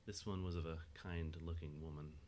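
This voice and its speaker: A low-voiced man narrates a story from a script. He reads as the narrator of an audio drama, with faint noise in the recording. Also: steady tone, moderate pace, a little mellow.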